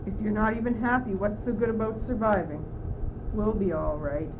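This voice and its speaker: A woman's voice: medium pitch, moderate pace, close to an everyday voice. A teenage female student playing a simple, hopeful, slightly puzzled young courtier sidekick in a school radio play. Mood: placid, reassuring. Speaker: student actor. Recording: muffled old tape with clear noise.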